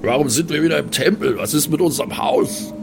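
A man's voice: on the high side, a little fast, over music. A man improvising in character as a player in a tabletop role-playing game. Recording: clearly noisy background ambience.

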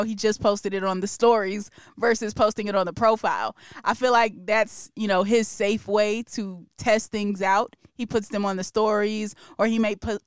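Chatty woman podcast host, crisp and dry. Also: medium pitch, no room sound. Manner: chatty, opinionated, laughs often. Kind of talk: casual pop-culture podcast chat.

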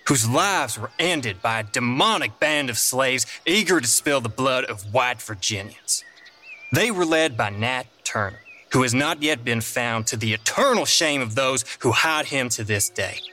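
Forceful, indignant 1830s news reporter man. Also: mid-range voice, expressive, a little slow. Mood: grave outrage. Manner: melodramatic, outraged, declamatory. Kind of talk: dramatized news report and interview.

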